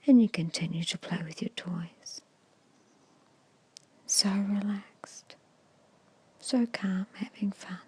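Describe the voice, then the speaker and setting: A woman whispering a guided meditation in a slow, soothing, hushed storytelling manner, close to the microphone. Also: medium pitch. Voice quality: breathy whisper. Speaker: hypnosis guide.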